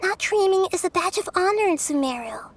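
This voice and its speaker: A woman voicing a small, gentle, wise young girl deity in a video game, speaking in measured, thoughtful, formal phrases. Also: very high-pitched, lively intonation, slight background noise. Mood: thoughtful, serious. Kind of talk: scripted video game character dialogue.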